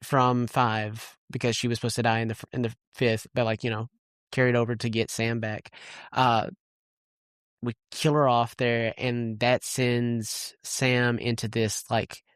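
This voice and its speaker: A man's voice: mid-range voice, level tone, measured pace, fairly bright tone, clear, resonant tone, no echo. A man co-hosting a podcast in casual movie chat, rambling and blunt, swearing freely. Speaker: podcast co-host.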